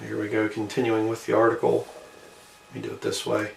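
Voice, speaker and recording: A man's voice: on the low side, monotone, brisk, gravelly, far from the mic, a little hiss or hum. Male video host, informal and musing as he reads and comments, roomy sound, set back from the mic. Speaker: video host reading and commenting on an old newspaper. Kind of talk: informal commentary mixed with reading aloud.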